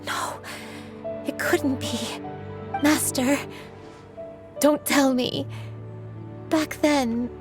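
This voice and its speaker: An actress playing a sincere, troubled former disciple in a video game, speaking earnestly and hesitantly with emotion. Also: female voice, high-pitched, fairly even intonation, extremely slow, pauses often, music in the background. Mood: stunned realization. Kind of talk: scripted video game acting.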